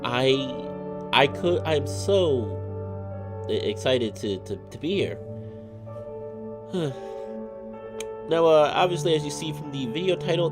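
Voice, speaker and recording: Slightly sick male let's play host. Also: a little high. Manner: rambling, apologetic, hesitant. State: a little sick. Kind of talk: casual let's play stream chat.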